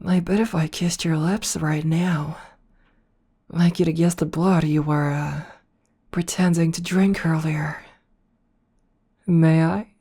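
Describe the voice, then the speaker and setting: A toying vampire princess, voiced by a woman in an ASMR audio roleplay, her tone dark and mellow and set a little low. She drawls slowly and softly, close to the mic, often dropping into a whisper. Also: steady tone, slightly rough, full character voice. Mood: teasing. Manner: soft, slow and toying, slipping into whispers. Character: teasing noble female vampire princess, predatory and playful.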